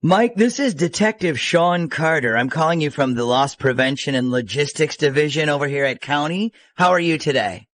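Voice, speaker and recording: A man's voice: a little high, normal speed, few pauses, a bit bright, voice pushed down, close to an everyday voice. A man playing a polite, businesslike, reassuring police detective on a prank phone call.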